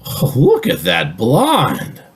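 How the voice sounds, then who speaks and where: Man playing a teasing, highly animated workmate. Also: slow pace. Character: teasing, exasperated male workmate in radio comedy. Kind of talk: old-time radio comedy acting.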